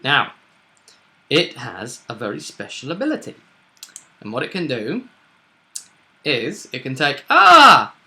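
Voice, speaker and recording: A man in a casual, animated chat as host and game master, recapping a tabletop roleplaying session and often repeating himself. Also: mid-range voice, slow, gravelly, pauses often.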